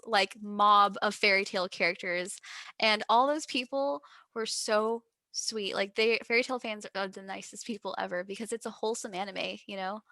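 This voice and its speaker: Bright, bubbly Texan young woman. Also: on the high side, dry recording. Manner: bubbly, giggly and gushing. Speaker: guest musician. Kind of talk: casual interview chat.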